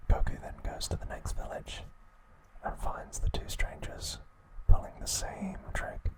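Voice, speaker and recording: A man whispering softly and deliberately in short phrases, narrating a recap in ASMR style with a breathy, unvoiced voice. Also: rough recording. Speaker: host of an ASMR channel.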